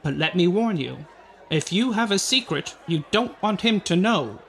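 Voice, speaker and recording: An actor playing a showy nightclub master of ceremonies, proclaiming theatrically in old-time radio style. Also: male voice, high voice, animated, normal speed, vocal fry, fairly bright tone, full character voice.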